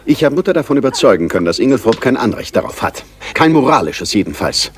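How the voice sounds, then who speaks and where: An actor playing a resentful upper-class English son, matter-of-fact and slightly sardonic, in a radio play. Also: male voice, mid-range voice, big pitch swings, quick pace, natural voice.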